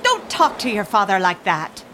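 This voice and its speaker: A woman's voice: medium pitch, wildly swooping pitch, normal speed, fairly bright tone, slight background noise. An actress playing an anxious middle-aged mother in a radio drama, with a fretful, dramatic delivery. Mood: uneasy.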